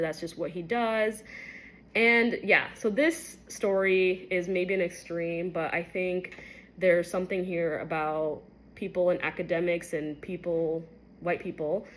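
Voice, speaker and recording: Low-voiced young woman telling a story. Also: a little hiss or hum. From Kenya. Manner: candid, conversational, many fillers, rising pitch when recounting shocking moments. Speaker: medical resident. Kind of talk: storytelling to camera.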